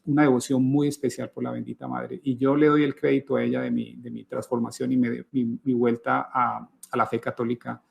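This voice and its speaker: A man's voice: mid-range voice, gravelly, slightly rough. A middle-aged man from Colombia giving his personal conversion testimony in an interview, thoughtful, with frequent hesitations and restarts.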